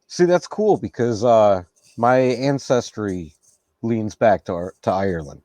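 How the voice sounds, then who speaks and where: Relaxed male interview host, low-pitched, dry close-mic sound. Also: unhurried, no room sound. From United States. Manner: relaxed, laughs easily, reflective. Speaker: podcast host. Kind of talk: casual interview.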